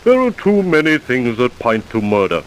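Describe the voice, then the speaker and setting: A man's voice: mid-range voice, huge pitch swings, normal speed, rough recording. A man playing a methodical scientist professor in an old radio drama, humming and mumbling "mm" and "uh" as he explains. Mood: explaining. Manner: mumbling "mm" and "uh", wry asides. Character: older male scientist professor, methodical and dry. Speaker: forensic professor. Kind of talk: 1940s radio drama acting. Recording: hissy old radio recording.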